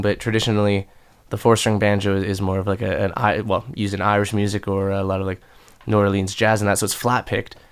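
A man's voice: on the low side, clean tone. A young man with a slightly nasal voice, a musician answering interview questions in a loose, rambling way with many fillers and restarts. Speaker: musician guest.

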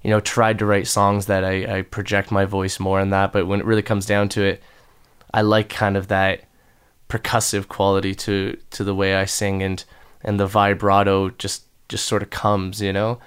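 A man's voice: on the low side, monotone, moderate pace, clean tone. A young man with a slightly nasal voice, a musician answering interview questions in a loose, rambling way with many fillers and restarts. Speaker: musician guest.

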